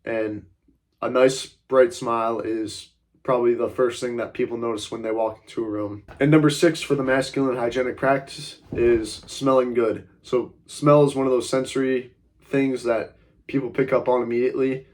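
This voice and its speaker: A young man with a stuffy, congested voice talks straight to his camera in a casual vlog. His pitch sits in an ordinary middle range. He rambles through his advice with lots of "like" and "uh" and stays blunt, now and then throwing in a curse. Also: steady tone, moderate pace, darkish tone. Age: young adult. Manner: rambling, frequent fillers like "like" and "uh"; blunt, occasionally swears. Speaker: self-improvement vlogger.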